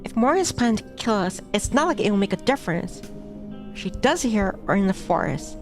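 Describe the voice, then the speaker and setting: Brief: woman streamer narrating a game, mid-pitched voice, chatty asides, soft music under her. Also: very animated, brisk. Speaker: VTuber streamer. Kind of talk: reading a visual novel aloud. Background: background music.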